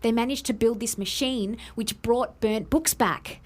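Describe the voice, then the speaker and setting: An Australian actress playing a guilty, defensive inventor who earnestly explains herself in an audio drama. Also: female voice, a little high, a little slow. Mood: earnest, explaining.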